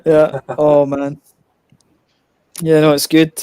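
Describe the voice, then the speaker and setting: A man's voice: on the high side, completely flat delivery, slow pace, warm tone, clear, resonant tone, no room sound. Laughing, rambling Scottish man, dry sound. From Scotland. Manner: laughs often, rambling with many restarts. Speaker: beer reviewer hosting an online hangout. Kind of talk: casual chat. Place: home, on a video call.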